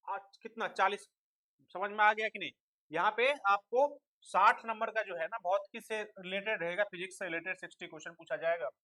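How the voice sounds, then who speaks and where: Bright-voiced man teaching. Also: high voice, poor audio. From India. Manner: asks rhetorical questions and answers them, checks understanding; mixes in English terms. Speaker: exam coaching teacher. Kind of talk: instructional explanation to students.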